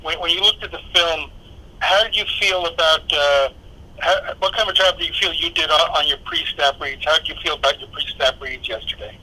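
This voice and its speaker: A man's voice: a little high, fairly quick, sharp tone, low-quality recording. A man working as a sports reporter, hesitantly phrasing and rephrasing a question on a press call.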